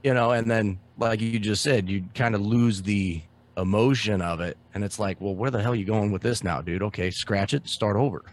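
A man co-hosting a podcast in casual chat, loud and excitable, swearing freely. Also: lowish voice, moderate pace, few pauses. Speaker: podcast co-host.

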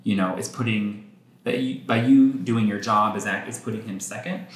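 A man's voice: fairly low pitch, fairly even intonation, normal speed, husky. Bossy, teasing male podcast host. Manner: bossy, teasing, keeps things moving. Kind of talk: casual podcast chat.

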